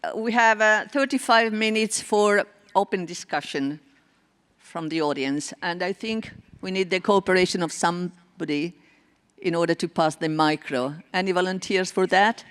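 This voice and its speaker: A moderator running a panel discussion, polite and brisk with fillers. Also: mid-range voice, a bit bright, smooth. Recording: reverberant hall, audience behind.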